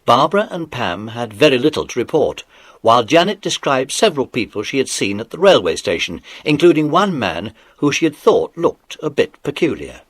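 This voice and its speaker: A man's voice: mid-range voice, sing-song, normal speed, no echo. A man playing the narrator of a children's adventure audio drama. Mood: storytelling. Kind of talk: scripted children's story narration.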